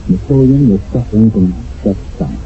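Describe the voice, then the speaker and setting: An older American man narrating a radio mystery drama as host, in a solemn, deliberate, theatrical way. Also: lowish voice, natural voice. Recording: muffled phone-like old radio recording with music. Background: dramatic music.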